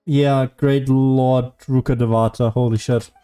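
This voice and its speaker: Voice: mid-range voice, level tone, a little slow, mellow, clear, resonant tone. A person giving casual, joking video game commentary in a laid-back, musing way. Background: faint game music.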